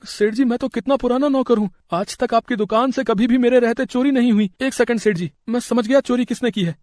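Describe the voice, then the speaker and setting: Man doing a high-pitched whiny shop servant. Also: brisk, a little mellow, no room sound, clean studio sound. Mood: alarmed, pleading. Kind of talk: animated Hindi moral story acting.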